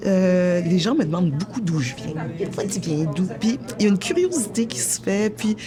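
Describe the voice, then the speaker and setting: A woman's voice: mid-range voice, lively intonation, brisk, music in the background. A woman from Quebec with a Quebec French accent, giving personal testimony in conversation. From Quebec, born in Montreal. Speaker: documentary participant. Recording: busy chatter around the voice. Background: crowd chatter.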